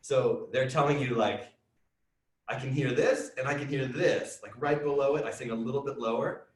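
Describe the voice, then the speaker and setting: A man, a music teacher, explaining science to children in a chatty, excitable way with many fillers. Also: mid-range voice, moderate pace. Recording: echoey room, distant mic.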